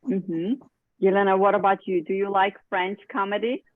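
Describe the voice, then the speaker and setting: A woman leading a casual English conversation club, chatty and quick to laugh as she draws others in. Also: medium pitch, little pitch movement, no room sound. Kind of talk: casual group chat in English. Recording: thin phone-like dry online call.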